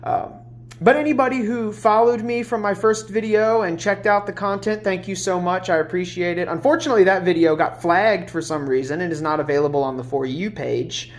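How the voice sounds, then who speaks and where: A woman's voice: fairly low pitch, a little fast. A congested woman, a little under the weather, giving a casual collective tarot reading, chatty with many fillers and restarts. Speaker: tarot reader.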